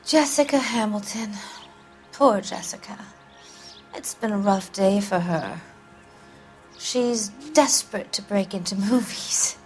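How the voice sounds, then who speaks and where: A woman's voice: mid-range voice, slow pace, pauses often, faint music behind, lightly acted. A woman playing a vain, brassy, evasive American film actress of the 1940s in a video game drama, with a haughty, teasing, theatrical delivery. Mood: evasive, dismissive.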